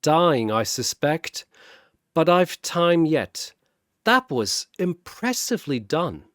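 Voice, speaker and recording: A man voicing a calm, clinical middle-aged male detective who speaks with dry, precise detachment. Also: fairly high pitch, slow pace, no room sound, pitched above the performer's own voice.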